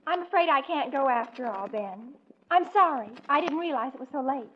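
A dull, slightly noisy old radio recording of a pouty young American girl. Her voice is high and very lively, exasperated and dismissive in the manner of a 1940s family comedy. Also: brisk. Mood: cool, offhand. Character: petulant, dismissive young niece, teenage or barely older. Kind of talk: 1940s radio sitcom acting.

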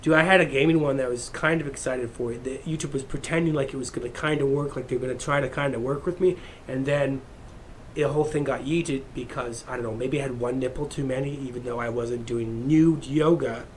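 A man's voice: medium pitch, steady tone, brisk, slight background noise, rough recording. A man vlogging in a heated, profane, rambling rant to his viewers. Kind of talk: unscripted rant to camera.

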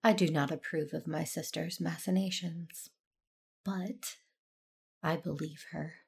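A woman's voice: sits low, fairly even intonation, measured pace, creaky, darkish tone, husky, heavily acted. A woman playing a stern, wounded Jedi master in a science fiction audio drama, grave and guarded. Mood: hurt, solemn.

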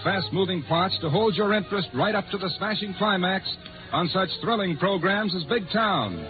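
An American male announcer with a high-pitched voice delivers scripted 1950s radio copy. The broadcast is muffled, with music playing clearly behind him.

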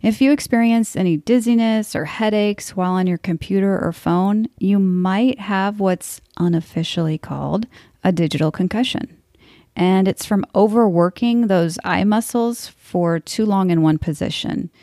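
A woman's voice: mid-range voice, moderate pace, warm tone, no room sound, studio quality. A woman in her forties hosting a podcast, talking in a frank, blunt and wry way. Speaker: podcast host. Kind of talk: scripted podcast monologue.

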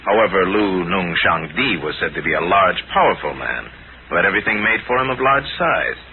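An actor playing a cool, urbane crime-fighting detective, measured and courteous with authority, in a 1940s radio drama. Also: male voice, low-pitched, expressive. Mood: probing. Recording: tinny old radio recording, faint noise.

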